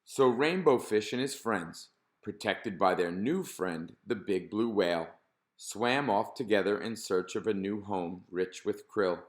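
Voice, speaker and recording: Male storybook narrator, slightly low register, reading aloud for children. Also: a little slow. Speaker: storybook reader.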